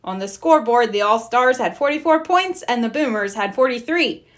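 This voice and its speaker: Animated, high-pitched woman storybook reader. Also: moderate pace. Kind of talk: animated children's read-aloud narration.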